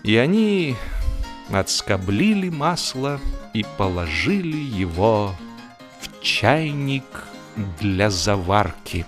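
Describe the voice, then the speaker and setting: Expressive male storyteller over music. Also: on the low side. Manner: expressive, theatrical, dry comic storytelling with drawn-out pauses. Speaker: narrator, audiobook reader. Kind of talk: dramatized audiobook narration of a humorous story.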